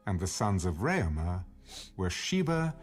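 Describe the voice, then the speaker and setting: A man reading scripture as a scripted audio Bible narration, in a measured, expressive storytelling delivery. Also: sits low, faint music behind.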